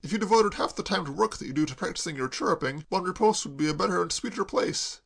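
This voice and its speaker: A man's voice: high voice, expressive, quick pace, creaky, a bit bright, pitched above the performer's own voice, full character voice. A man voicing a gallant young man who speaks with lofty mock-severity. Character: earnest young man, gallant and a bit pompous. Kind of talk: audiobook character voice.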